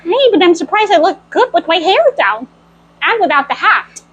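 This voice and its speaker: A woman's voice: extremely high pitch, very animated, fairly quick, a bit bright, dull audio, a little hiss or hum. A woman doing a storytime in a childlike, playful manner, role-playing as a cartoon mouse girl. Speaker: storyteller in costume. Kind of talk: in-character storytime reading and chat.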